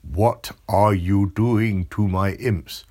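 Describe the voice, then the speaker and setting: A man doing a booming purple grape monster. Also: warm tone. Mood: booming, stern. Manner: booming and slow, then squeaky once shrunk tiny. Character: huge purple grape monster, male, bossy master.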